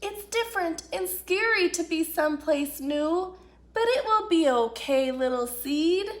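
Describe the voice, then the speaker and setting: A woman's voice: very high-pitched, animated, measured pace. A woman voicing a small, anxious and loyal young male fox in a children's storybook, with a worried, comforting manner. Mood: nervous comforting.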